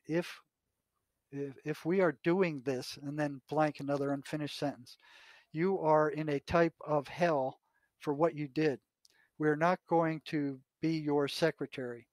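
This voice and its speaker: An older American man in conversation, telling anecdotes and reading aloud from notes in a halting way, often repeating his words. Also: on the high side, darkish tone, no room sound. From United States. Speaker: retired psychotherapist, podcast guest.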